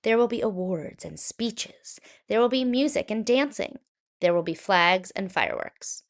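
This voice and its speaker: Woman voicing cheerful town mayor, storybook, grand, proclaiming, highly varied pitch. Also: animated, a little slow. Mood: enthusiastic. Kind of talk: children's picture book read-aloud.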